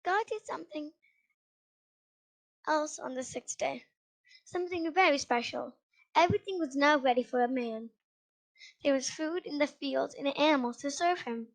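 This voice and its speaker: A female reader with a high voice reading a children's Bible story aloud, halting now and then over words. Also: vocal fry, low-quality recording.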